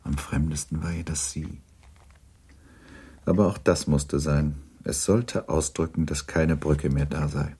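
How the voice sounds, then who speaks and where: An actor as the sober, restrained narrator of a period novel in a radio drama, measured, grave and understated. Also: male voice, a bass voice, steady tone, warm tone, breathy edge, heavily acted.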